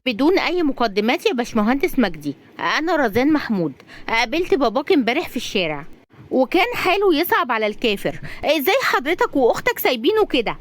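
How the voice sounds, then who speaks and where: A woman's voice: high-pitched, pitched above the performer's own voice. A woman voicing a feisty twenty-five-year-old woman in an audio story, emotional and insistent as she pleads and scolds.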